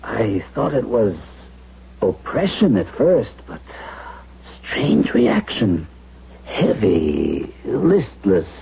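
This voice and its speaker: A man acting a troubled, sorrowful character with halting, dramatic delivery in a 1970s radio drama. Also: lowish voice, wildly swooping pitch, creaky, slight background noise, heavily acted. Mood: uneasy, sorrowful. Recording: dull lo-fi 1970s radio broadcast.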